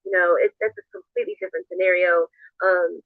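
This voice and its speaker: A man's voice: high voice, very animated, fast, no echo. A man in a casual, complaining livestream rant, repeating himself as he goes. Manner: complaining, hesitant repetition. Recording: tinny phone-like played stream audio.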